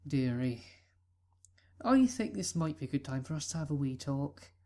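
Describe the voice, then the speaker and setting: A woman voicing a gentle middle-aged mother pony who calls people "dearie" in a fan audio reading. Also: low voice, expressive, normal speed. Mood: doting.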